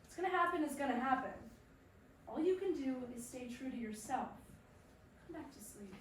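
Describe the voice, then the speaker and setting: An actress playing a caring, firm wife who coaxes and reassures in a staged play reading. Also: female voice, high-pitched, expressive, moderate pace, a little hiss or hum. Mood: firm, soothing. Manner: coaxing, reassuring. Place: performance room, recorded from a distance. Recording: very echoey room, distant mic.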